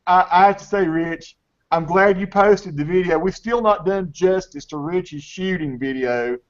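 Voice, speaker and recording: A Southern US man in his fifties hosts a casual video call chat, his voice sitting a little high. He talks in a chatty way and repeats words as he restarts. The call audio is dull and lo-fi. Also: measured pace. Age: 50s. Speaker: host.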